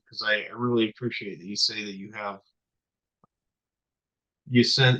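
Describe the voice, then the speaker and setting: A middle-aged man hosts an interview, his voice bright and forceful but fairly low. He talks in a chatty way and asks long, winding questions. The sound is a little dull and lo-fi. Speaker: podcast host. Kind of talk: conversational interview.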